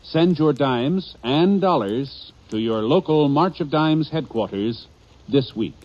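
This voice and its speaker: A man's voice: on the high side, expressive, slow, a little mellow. A man announcing on 1950s radio in a formal, earnest, emphatic scripted style. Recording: dull 1950s radio recording.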